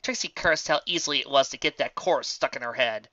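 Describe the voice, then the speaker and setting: A woman's voice: extremely low pitch, fast talker, sharp tone, dry recording. A woman narrating a piece of fan fiction as an amateur reader. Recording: dull, muffled lo-fi recording.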